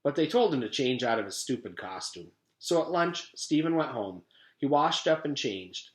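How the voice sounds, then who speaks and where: A man's voice: mid-range voice, very animated, moderate pace. A man reading a children's story aloud as a teacher would to a class. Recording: echoey room, home-made reading.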